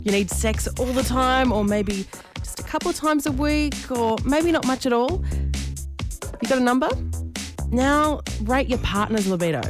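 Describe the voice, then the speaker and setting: A woman's voice: high voice, animated, normal speed. A woman presenting a talkback radio show in a direct, cheeky way, speaking straight to listeners. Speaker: radio host. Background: music.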